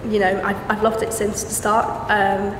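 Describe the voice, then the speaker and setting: A woman's voice: medium pitch, completely flat delivery, moderate pace, roomy sound, big-room sound, distant mic, background noise. A woman in her early twenties speaks confidently about her academic experience. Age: 20s. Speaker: election candidate. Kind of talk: short campaign statement to camera. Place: university TV studio.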